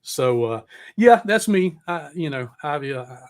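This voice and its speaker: A man's voice: on the high side, big pitch swings, a little slow, a little mellow. A middle-aged man hosting an interview as a casual chat, informal and rambling, with the odd swear word. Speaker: podcast host.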